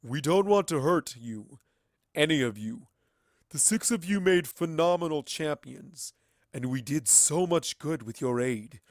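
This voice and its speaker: A man's voice: a little high, no room sound, voice pushed up, close to an everyday voice. Man performing lofty inhuman entity for fantasy audiobook, detached and condescending, formal diction, dry sound. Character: cold, lofty otherworldly collective entity speaking through a child. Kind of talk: dramatic fan fiction reading.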